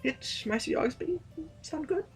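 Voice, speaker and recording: A man's voice: very high-pitched, lively intonation, a little slow, slight background noise, rough recording. A man playing an affable, hesitant sovereign who rambles politely in a science-fiction audio drama. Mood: eager, flustered. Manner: chatty, hesitant, eager to smooth things over. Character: affable, rambling male sovereign of a space fiefdom.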